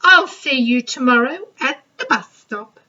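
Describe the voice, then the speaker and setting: Woman voicing a small, fussy, slow-talking little guy. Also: high voice, expressive, pushed and bright, lo-fi sound, full character voice. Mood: knowing. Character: Tiny, small, fussy, bossy, worried male friend.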